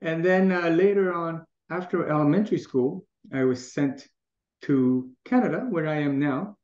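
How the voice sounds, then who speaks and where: A man's voice: fairly high pitch, normal speed, a little mellow. A middle-aged man from Taiwan, talking in a casual interview in a thoughtful way, with many restarts and easy laughs. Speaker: guest. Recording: echoey room, dull lo-fi sound.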